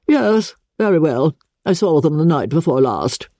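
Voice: mid-range voice, big pitch swings, measured pace, mellow, no room sound, clean studio sound, voice pushed up. A reader voicing a haughty, imperious elderly aristocratic lady, speaking stiffly and commandingly in an audiobook. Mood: condescending. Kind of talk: audiobook character reading.

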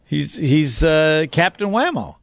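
A man's voice: a little high, big pitch swings, slow pace, gravelly, dry recording, clean studio sound. A man co-hosting a sports radio show in casual chat, rambling and cutting himself off mid-thought. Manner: rambling, self-interrupting, chatty.

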